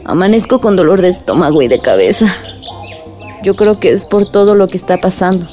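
A woman's voice: lowish voice, lively intonation, a little fast, dark tone, faint music behind, natural voice. An actress playing a troubled, caring young village woman in a radio drama, confiding and weary.

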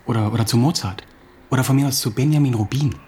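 Radio drama: male actor for touchy young author, mid-pitched, wounded pride, halting delivery that repeats words, accusing. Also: dark tone, lightly acted. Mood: wounded, demanding. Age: young adult. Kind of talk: radio play acting.

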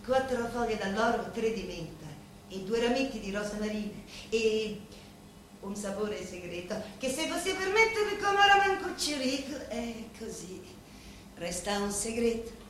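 A woman's voice: fairly high pitch, very animated, unhurried. Echoey, devoted Sicilian woman. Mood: deferential, confiding. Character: devoted, deferential Sicilian woman serving a neighbourhood boss. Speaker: stage actress. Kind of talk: theatrical dramatic monologue in dialect. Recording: very echoey room, faint background noise.

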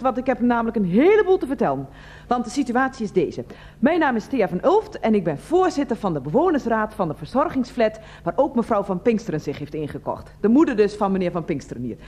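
A woman's voice: a little high, big pitch swings, fast talker, darkish tone. An actress playing a chatty, officious residents' council chairwoman in a radio drama, pushy and prim. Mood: officious, insistent. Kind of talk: radio comedy-drama acting.

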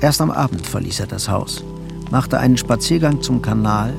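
A man tells the story of a radio play as a scripted literary narrator. His voice is mid-pitched with a marked creak, and faint music plays behind him. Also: animated, moderate pace.

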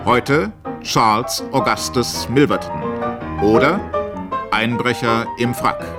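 A man announcing the title of a radio crime drama in a deliberate, emphatic way. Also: medium pitch. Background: prominent keyboard music.

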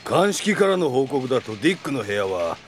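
A man voicing a stern, no-nonsense police chief who gives brisk commands. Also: mid-range voice, big pitch swings, slight background noise, natural voice. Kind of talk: anime drama CD acting.

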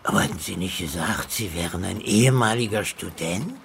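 An actor playing a frail, friendly, scatterbrained old retired professor in a radio drama, with a husky, breathy voice and a halting delivery. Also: male voice, sits low, lively intonation, fairly bright tone, slight background noise, full character voice. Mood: friendly, confused. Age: elderly.

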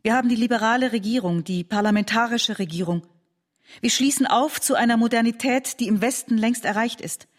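An actress playing an earnest, conciliatory liberal woman in a German radio drama, reasoning in a measured way. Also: a little high, natural voice. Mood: hopeful. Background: music.

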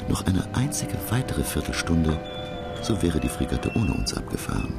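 Learned professor telling his sea adventure, super deep n gravelly, swings a lot, music behind, radio drama. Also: sing-song, normal speed, exaggerated voice. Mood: narrating, intent. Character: learned, self-assured French professor recounting his expedition. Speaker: narrator and lead character. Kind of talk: dramatic scripted narration.

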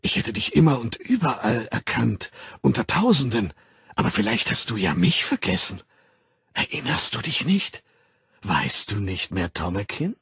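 A man's voice: mid-range voice, very animated, moderate pace, gravelly, fairly bright tone, slightly rough, dry recording, studio quality, exaggerated voice. A man reading an audiobook voices an aging, desperate woman who pleads with a former lover in a trembling, half-whispered voice. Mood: pleading. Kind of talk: audiobook character voice.

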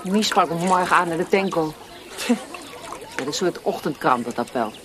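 A wry, stoical woman prisoner chats with dry defiance in a radio play. Her pitch moves a great deal, over noisy outdoor ambience with birds. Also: lively intonation, unhurried. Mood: wry, defiant. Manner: dry, colloquial, sardonic. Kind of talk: radio drama dialogue.

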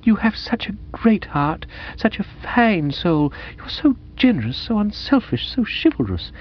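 A man voicing a dreamy, sentimental young woman with a gushing, halting, earnest delivery in a dramatised audiobook. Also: sits high, very animated, lo-fi sound, pitched above the performer's own voice, exaggerated voice. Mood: soulful, gushing.

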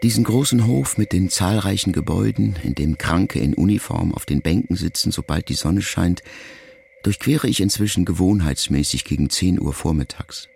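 A middle-aged actor playing a reflective grown son, a writer, who addresses his dying mother in a measured, confessional literary monologue for a radio play. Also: low-pitched, creaky, darkish tone, slight background noise. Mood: sombre, brooding. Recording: music behind the voice. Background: music.

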